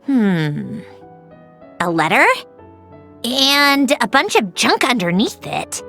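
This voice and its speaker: A woman's voice: a little high, sing-song, slow pace, a bit bright, full character voice. A proud young female mechanic from a video game. Her delivery swings expressively between dry precision and nostalgia, sure of her own brilliance. Music plays behind her. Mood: curious, smug. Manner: self-assured, precise, nostalgic. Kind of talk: scripted video game dialogue.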